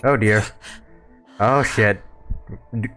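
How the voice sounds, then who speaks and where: A man's voice: lowish voice, very slow, pushed and bright, faint music behind. A young man giving casual live reaction commentary as he plays a video game. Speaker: gaming video creator.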